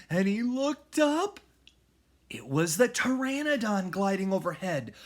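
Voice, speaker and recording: Guy reading a kids book out loud, takes his time, super expressive and dramatic, goes hushed for suspense. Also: a little high. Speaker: music teacher reading aloud. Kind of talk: children's storybook read-aloud.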